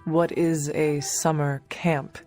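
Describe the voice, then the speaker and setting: A woman's voice: sits low, level tone, slow pace, a little mellow. A woman playing a solemn, earnest warrior in a video game, speaking formally and literally. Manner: formal, literal, few contractions. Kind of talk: video game cutscene acting. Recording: long reverb, music behind.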